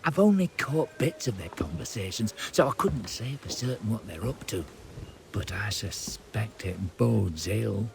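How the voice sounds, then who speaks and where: Low-voiced, wary sailor. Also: male voice, expressive, a little slow, vocal fry, background noise. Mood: guarded, uneasy. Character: wary, loyal male sailor quietly passing on information. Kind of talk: video game cutscene acting.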